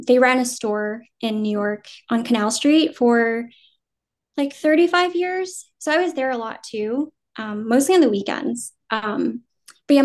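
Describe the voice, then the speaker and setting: A woman in her thirties from New Jersey, raised speaking Vietnamese first, now with an American accent, chatting casually and loosely in an interview. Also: on the high side. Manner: relaxed, rambling, many "um" and "like", laughs easily. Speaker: guest actor.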